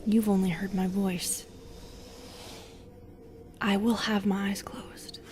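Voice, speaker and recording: A woman acts out a lonely female Medusa, wounded and wary, in an audio roleplay. She speaks slowly in a mid-pitched, breathy voice that often sinks to a whisper, halting as she goes, over faint background ambience. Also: a little hiss or hum, close to an everyday voice. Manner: hesitant, halting, soft and breathy.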